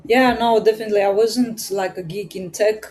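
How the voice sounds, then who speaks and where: A woman's voice: medium pitch, fairly even intonation, moderate pace, darkish tone. Thoughtful woman. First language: Romanian. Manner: hesitant, thoughtful, light laughs. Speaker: podcast guest. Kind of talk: casual interview answers.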